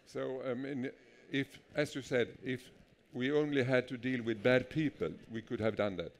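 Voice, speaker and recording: A man interviewing a guest at a public talk, asking hesitant, measured questions. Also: medium pitch, slight background noise. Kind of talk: conversational interview on stage.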